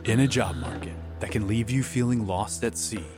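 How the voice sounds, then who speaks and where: A man's voice: lowish voice, moderate pace, over music. A man reading scripted, dramatic advert narration.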